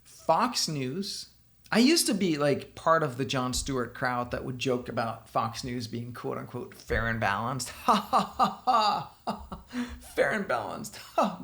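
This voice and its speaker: Gravelly Danish-accented man, opinionated. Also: a little high, fairly bright tone. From Denmark. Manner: opinionated, talking straight to the viewer. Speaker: software company founder giving political commentary. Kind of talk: casual commentary responding to a blog post.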